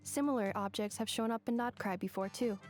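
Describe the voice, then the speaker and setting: Woman playing a composed, high-voiced robot girl. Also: lightly acted. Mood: composed, earnest. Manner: measured, precise. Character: gentle, matter-of-fact female robot, composed and sincere. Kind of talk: video game character acting. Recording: faint music behind.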